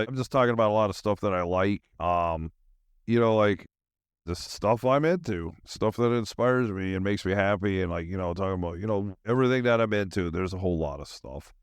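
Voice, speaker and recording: Tired, rambling low-voiced man. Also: clean tone, no echo. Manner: unfocused, meandering, laid-back slangy talk with trailing thoughts. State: tired, zoned out. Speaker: solo podcast host. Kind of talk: casual rambling monologue.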